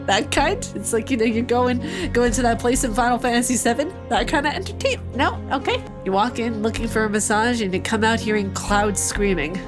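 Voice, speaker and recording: A woman's voice: on the high side, expressive, brisk. A woman hosting a let's play, reading visual novel narration aloud and breaking off into playful, joking asides. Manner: playful, joking asides between narrated passages, frequent laughs. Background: game music.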